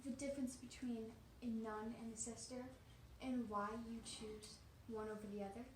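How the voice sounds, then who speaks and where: A woman's voice: a little high, steady tone, normal speed, darkish tone. A woman interviewer asking questions of a guest in a staged interview. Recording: roomy, distant mic, faint noise.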